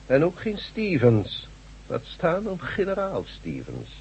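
An actor playing an authoritative, condescending doctor in a radio drama, patronising and assured. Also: male voice, fairly high pitch, huge pitch swings, slow, creaky, a little mellow, slight background noise, exaggerated voice. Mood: dismissive, assured. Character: brisk, condescending male doctor, smoothly authoritative. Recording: dull, muffled radio drama recording.

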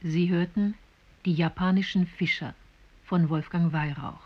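A woman announces radio play credits formally. Her voice is husky and she lists each item very slowly. The recording is dull and old. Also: fairly low pitch, steady tone. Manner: measured, formal listing.

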